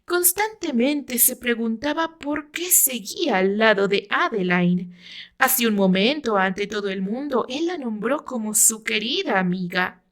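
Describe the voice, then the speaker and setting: A Mexican woman narrates a novel in a scripted, dramatic style. Her voice sits fairly high and she reads at a slow pace, as an author presenting her own fiction. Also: lively intonation, fairly bright tone.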